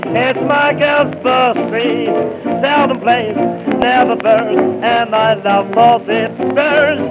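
A man's voice: extremely high pitch, slow, dull audio. A man singing a novelty dance-band song in an old-time style, on a vintage 78 rpm record. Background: dance band music, loud.

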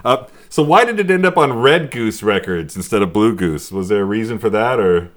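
A man's voice: lowish voice, expressive, moderate pace. A man casually interviewing guests over a video call. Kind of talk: casual chat. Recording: faintly noisy video call with clicks.

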